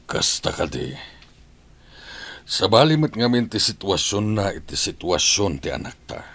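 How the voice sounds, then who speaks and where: A man's voice: low voice, big pitch swings, a little slow, a bit bright, husky, heavily acted. A man playing a firm, protective father with an Ilocano accent in a radio drama. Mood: firm, concerned.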